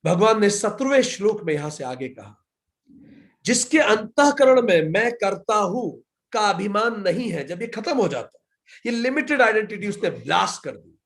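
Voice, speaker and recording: A man's voice: high voice, big pitch swings, normal speed, a bit bright. A man with an Indian accent teaching scripture, building points through repetition and asking and answering his own questions. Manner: emphatic, repetitive rhetorical build-ups. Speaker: spiritual teacher. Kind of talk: scripture lecture.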